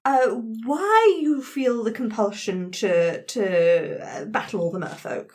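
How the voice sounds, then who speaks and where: A woman playing an earnest, courteous adventurer in a tabletop game, hesitant and gently coaxing. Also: a little high, animated, slow. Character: polite, earnest, encouraging female adventurer, gently persuasive.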